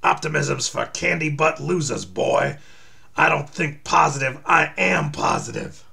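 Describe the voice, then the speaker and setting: Hulking comic-book villain, acted by a man: cocky, menacing, swaggering drawl, raised pitch with gravel in it. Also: a little slow, sharp tone, exaggerated voice. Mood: taunting.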